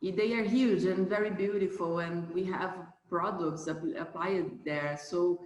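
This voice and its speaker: Slow-speaking Brazilian woman in an echoey room. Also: fairly low pitch, fairly even intonation, lo-fi sound. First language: Portuguese. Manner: halting, searching for words in non-native English. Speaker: company manager being interviewed. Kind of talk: interview answers about a family business.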